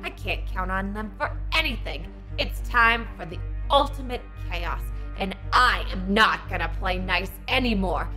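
A woman's voice: lively intonation, a little slow, hardly any pauses, music in the background, close to an everyday voice. A woman voicing an entitled, bossy Karen villain who is whiny and demanding. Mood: fuming. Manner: whiny, shrill, demanding, bursts into squeals and cackles. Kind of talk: Roblox roleplay skit voice acting.

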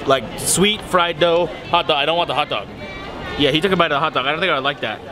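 A man's voice: fairly high pitch, quick pace, a crowd in the background. A man in his twenties, a travel vlogger chatting casually to a camera held at arm's length on a busy shopping street. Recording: busy street noise with loud music.

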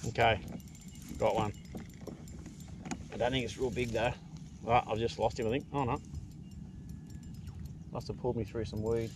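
A middle-aged man with an Australian accent explains his fishing technique in a casual, unhurried way. His voice sits at an ordinary male pitch. He is recorded outdoors on a kayak by an action camera, with noticeable noise behind him. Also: fairly even intonation. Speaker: fishing vlogger. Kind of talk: casual tutorial chat while fishing. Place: outdoors in a kayak on open water.

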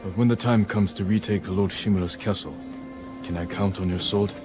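A low male voice carries an earnest, determined samurai lord played by an actor. He is formal and restrained, in cinematic game style, with prominent music underneath. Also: fairly even intonation, normal speed, lightly acted. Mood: earnest, persuasive. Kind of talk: cinematic game drama acting.

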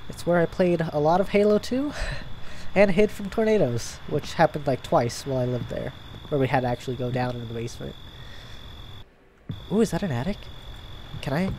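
A young man with a stuffy nose, chatting and reacting out loud to scares as he plays a horror game at home. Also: on the high side, expressive, moderate pace, pauses often, slight background noise, low-quality recording. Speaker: gaming YouTuber. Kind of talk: horror game let's play commentary. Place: home gaming room, indoors.

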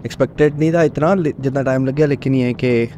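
Easygoing guy vlogging from his bike, mid pitch, faint road noise in the background. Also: fast talker. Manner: relaxed, chatty, mixes English words into Hindi. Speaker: motorcycle vlogger. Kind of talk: casual travel narration while riding. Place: on a motorcycle on a desert highway, outdoors. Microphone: helmet or action-camera mic. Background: faint wind and engine noise.

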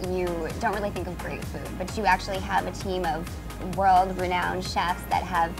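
A woman's voice: fairly low pitch, level tone, normal speed, vocal fry, over music. A young adult woman hosting a bubbly, curious promotional interview inside a restaurant. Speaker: host. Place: restaurant bar and candy shop indoors.

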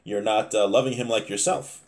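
A man's voice: fairly low pitch, steady tone, normal speed, darkish tone. A man in his thirties giving an informal religious lesson, reading Hebrew phrases and explaining them in English, often repeating and rephrasing as he goes. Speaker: religious teacher. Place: small home room, indoors.

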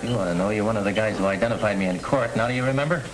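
An actor playing a determined young parolee out to clear his name in a 1930s crime film. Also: lowish voice, very fast, crowd noise, natural voice, poor audio. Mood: cool, pressing. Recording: old 1930s film soundtrack, music bleeding in.

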